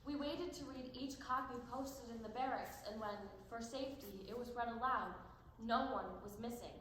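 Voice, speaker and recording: A young woman acting in a one-act stage play as a gentle, earnest, anxious teenage girl prisoner. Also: fairly high pitch, moderate pace, close to an everyday voice. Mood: solemn narrating. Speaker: amateur stage actor. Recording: very echoey, distant, noisy stage recording.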